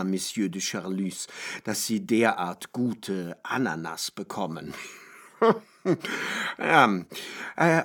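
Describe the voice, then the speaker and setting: An actor playing a pompous, chatty academic who savours ornate, learned phrases in a German literary radio drama. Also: male voice, low-pitched, fairly bright tone, heavily acted. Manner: ornate, hesitant, savouring his own erudite phrases.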